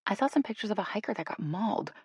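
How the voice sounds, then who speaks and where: A woman voicing a nervous, sarcastic, giggly woman in an audiobook, in a quick, hushed manner. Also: on the low side, creaky, breathy edge, dry recording, studio quality. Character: jittery, giggly, sarcastic woman scared of bears. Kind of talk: audiobook character acting.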